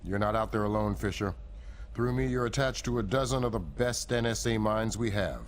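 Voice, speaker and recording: A male actor as a firm intelligence handler in a game cutscene, pitched fairly low. He briefs with guarded, businesslike authority. Noisy ambience runs behind his voice. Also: brisk, close to an everyday voice. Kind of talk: video game cutscene acting.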